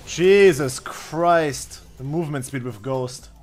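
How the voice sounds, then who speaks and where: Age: 20s. Sex: male. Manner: profane, offhand gamer. Pitch: raised. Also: slow, darkish tone. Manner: profane, offhand, thinking aloud. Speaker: game streamer. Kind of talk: live gameplay commentary. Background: faint background beat.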